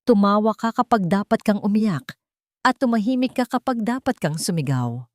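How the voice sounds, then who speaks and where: A woman voicing a bitter, wise old woman who gives hushed counsel. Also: fairly low pitch, dry recording, clean studio sound, close to an everyday voice.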